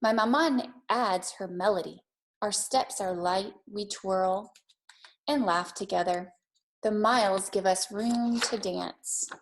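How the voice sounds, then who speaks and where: A woman's voice: mid-range voice, takes it slowly, rough recording. Female teacher for a kids' read-aloud and lesson, simple, encouraging, child-directed delivery. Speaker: teacher reading aloud and setting a challenge for young children.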